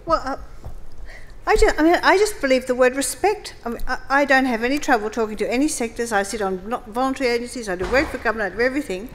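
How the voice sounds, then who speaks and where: A woman's voice: fairly high pitch, lively intonation, fast. A woman speaking on a conference panel, emphatic and forthright in a conversational way.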